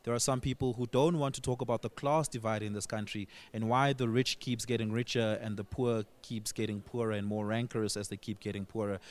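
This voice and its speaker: South African man hosting a radio discussion, mid-pitched. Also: darkish tone. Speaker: radio host. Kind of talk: discussion interview.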